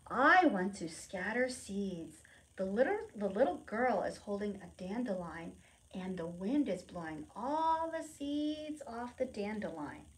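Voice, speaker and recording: Slow, expressive woman leading preschool storytime. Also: medium pitch, rough recording. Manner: simple, expressive teacherly phrasing addressed to young children. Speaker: children's library storytime presenter. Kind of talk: read-aloud storytime for preschoolers with questions and explanations.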